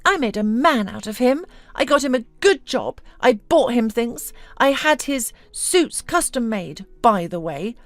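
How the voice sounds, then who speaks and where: Casting: female narrator as scornful, domineering businesswoman wife for audio story, theatrical, swooping pitch, dry close sound. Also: very animated, a little slow, no room sound. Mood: aggrieved. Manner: mocking, theatrical, exclamatory.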